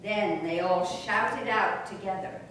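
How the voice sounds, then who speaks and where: A woman narrating a scripture reading during a church service in a measured, formal way. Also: medium pitch, fairly bright tone. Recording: echoey church, distant mic, background noise.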